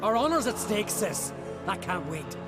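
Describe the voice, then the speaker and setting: An actor playing a rash, proud young warrior in a video game, blunt and defiant. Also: male voice, sits high, big pitch swings, a little slow. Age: young adult. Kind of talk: dramatic video game acting. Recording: music behind the voice.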